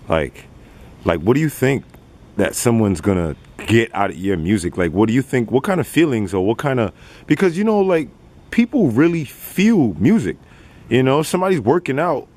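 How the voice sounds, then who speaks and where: A man's voice: mid-range voice, a little mellow. A man interviewing a rapper, asking long rambling questions full of "like" and "you know". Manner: rambling, repeated "like" while asking. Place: outdoors in a city neighborhood.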